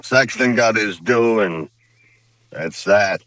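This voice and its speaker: Defiant American cattleman villain, old radio western. Mid-pitched, forceful, combative, dry close sound. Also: slow, no echo. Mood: defiant, angry. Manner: blunt, combative. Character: hard, defiant, vengeful rancher and lynch mob leader. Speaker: radio drama actor.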